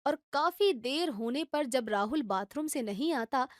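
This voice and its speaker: A woman narrates a children's storybook in a steady, plain way. Her voice is high, and the recording is dry and close. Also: unhurried, no room sound.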